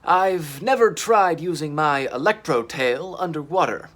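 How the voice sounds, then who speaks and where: Eager, high-pitched dinosaur captain, voiced by a man. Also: expressive, a little slow, a bit bright. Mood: excited.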